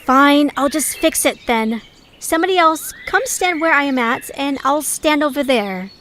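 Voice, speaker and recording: A woman's voice: sits high, animated, moderate pace, background noise. A woman playing a bossy, self-assured young female leader in an audio drama, commanding and boastful. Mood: flustered.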